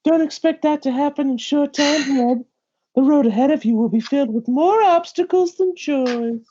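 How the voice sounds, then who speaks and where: A man reading a big, seasoned pirate bounty hunter from a comic, wry, measured and explanatory. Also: extremely high pitch, warm tone, highs cut off, pitched above the performer's own voice. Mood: weary, patient.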